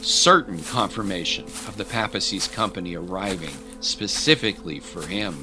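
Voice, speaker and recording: Male narrator, grave scripted drama storytelling, lower register, over a music bed. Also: moderate pace.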